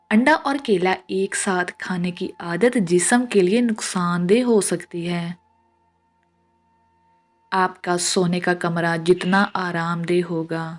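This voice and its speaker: A woman reads scripted tips in a measured, instructional way, as a narrator of short pieces of advice. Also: fairly low pitch. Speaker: narrator of household and health tips. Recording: voice-over with music behind. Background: music.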